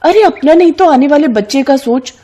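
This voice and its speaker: A woman's voice: sits high, animated, brisk, dark tone, voice pushed up. A woman voicing a cunning, bossy young city woman who pushes and persuades her friend in a cartoon moral story. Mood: persuasive. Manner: pushy, scolding, persuasive.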